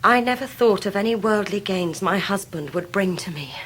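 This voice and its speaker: An actress playing a devoted, dignified young Victorian wife in a period radio drama, fervent and formal in delivery. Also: female voice, mid-range voice, lively intonation, normal speed, a bit bright, husky. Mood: fervent, sincere.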